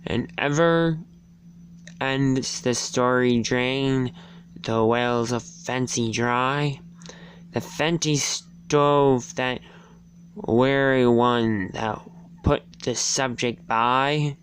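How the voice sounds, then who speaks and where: An amateur reader delivers a dramatic storybook reading at a very slow pace, in a medium-pitched voice. The delivery is halting, with stumbles over words. Faint noise and hum sit behind it. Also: fairly even intonation.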